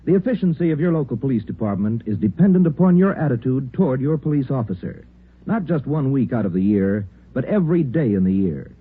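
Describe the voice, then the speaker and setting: A man making 1950s radio sponsor announcements, earnest and emphatic, with a husky voice. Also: medium pitch, animated, a little fast. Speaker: commercial announcer. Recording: narrow, tinny old radio recording.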